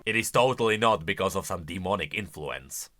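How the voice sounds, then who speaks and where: Animated, bright-voiced man doing commentary. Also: deep voice, a little fast, faint music behind. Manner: emphatic, conversational. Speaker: video host. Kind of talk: casual explanatory game lore commentary.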